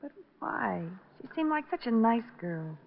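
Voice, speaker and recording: A friendly female salon boss in old-time radio drama. Her curious, familiar manner comes in a fairly high voice. The recording is dull with faint noise. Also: very animated, a little slow, vocal fry, full character voice. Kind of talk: 1940s-50s radio drama acting.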